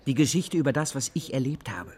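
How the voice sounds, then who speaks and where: A man's voice: mid-range voice, very animated, fairly quick, darkish tone, lightly acted. An actor playing a hard-edged, insistent man who speaks bluntly in a naturalistic radio drama. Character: hard-edged, pressuring man with a shady past.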